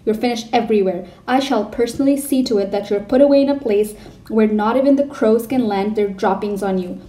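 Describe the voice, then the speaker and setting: A woman voicing a furious, bullying headmistress who shouts and rants, recorded in a roomy space from a distance. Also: fairly high pitch, fairly quick, natural voice. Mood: raging. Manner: shouting, loud and harsh.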